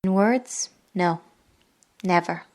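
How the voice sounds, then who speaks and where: An actress playing a sorrowful yet resolute young woman in a classic radio drama, speaking gravely and deliberately. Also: fairly low pitch, a little mellow. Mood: grieving, firm.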